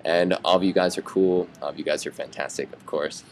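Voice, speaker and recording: A young man, a student, giving earnest, measured advice and a farewell to his classmates. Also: low voice, steady tone, a little hiss or hum. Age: young adult. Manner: earnest, measured, slightly halting.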